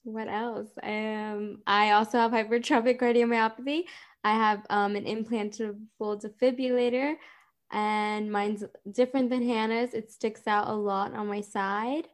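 A woman in her twenties, a guest in a casual interview, explaining in an earnest, steady way with many ums. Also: on the high side, unhurried.

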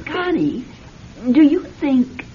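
An excitable, starstruck amateur actress in a radio play. Her high voice gushes and swoops dramatically on a muffled, faintly noisy recording. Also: slow, heavily acted. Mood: gushing. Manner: gushing, excitable. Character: gushing, starstruck small-town amateur actress. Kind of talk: classic radio drama acting.

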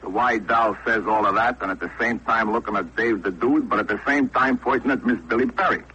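A man's voice: mid-range voice, fairly quick, pushed and bright, slightly rough. An American man narrating a slangy comic tale in a 1940s radio style, on a dull old recording. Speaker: storyteller.

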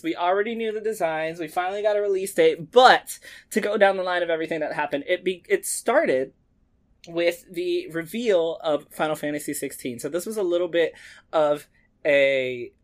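A man hosting a gaming podcast, talking in a casual, upbeat and excitable way. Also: a little high. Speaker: podcast host.

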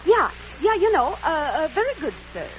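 Spunky jobless young typist, brisk and eager, high and lively, faint hiss on lo-fi vintage radio. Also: female voice. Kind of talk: 1940s radio acting. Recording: dull old radio recording, hiss.

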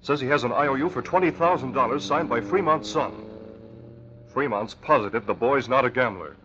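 An actor playing a resolute, cool-headed crime-fighter in a 1940s radio adventure, with measured, decisive delivery. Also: male voice, medium pitch, fairly bright tone, natural voice. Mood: earnest, decisive. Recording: dull, noisy old radio recording.

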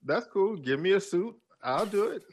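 A man's voice: high-pitched, expressive, normal speed. A man co-hosting a podcast in casual chat, eager and playful, laughing often. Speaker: podcast co-host.

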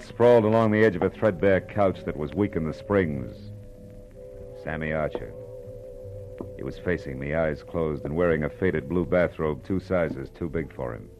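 Hardboiled detective, low voice, wry, clipped, sardonic, vintage radio crime drama, music behind. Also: male voice, a little mellow, poor audio. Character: tough, wry male private detective. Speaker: lead character and narrator.

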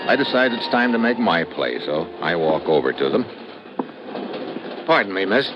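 An actor playing a wisecracking, tough American adventurer in old-time radio style, with breezy, slangy delivery. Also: male voice, low-pitched, very animated, normal speed, lo-fi sound. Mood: firm, tough. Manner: breezy, slangy, hard-boiled. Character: cool, wisecracking tough American adventurer telling his story. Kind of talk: old-time radio acting and first-person narration. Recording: noisy vintage radio recording.

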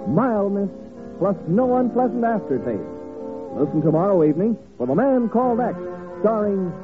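Over music, a man announces in a high, animated voice from a script. The vintage radio recording is tinny and narrow. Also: normal speed. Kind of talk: scripted 1950s broadcast announcing.

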